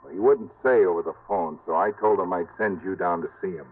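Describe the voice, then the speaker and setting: Man doing a low husky voice, confiding and serious, tinny old radio. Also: a little fast, lightly acted. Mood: earnest. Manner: confiding, earnest, warning. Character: shrewd middle-aged man with paid informants. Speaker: client who hires the detective. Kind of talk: 1950s radio drama acting.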